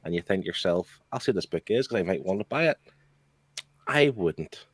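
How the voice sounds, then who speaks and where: Low-voiced man in casual discussion. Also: expressive, moderate pace, slightly rough, no room sound. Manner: blunt, conversational. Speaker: comic book show panelist. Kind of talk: casual opinionated chat.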